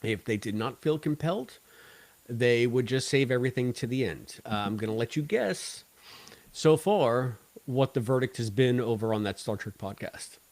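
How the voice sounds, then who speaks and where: A man co-hosting a casual podcast discussion, talking in a wordy, halting way and repeating phrases as he goes. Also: medium pitch, darkish tone, no echo, rough recording. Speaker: podcast co-host.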